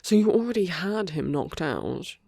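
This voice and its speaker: A performer voicing a smug, flirtatious villain in an ASMR roleplay, teasing and intimate, with a breathy murmur that often slips into whispers. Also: mid-range voice, sing-song, moderate pace, a little mellow, no room sound, studio quality. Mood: amused, mocking. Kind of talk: ASMR romantic roleplay audio drama.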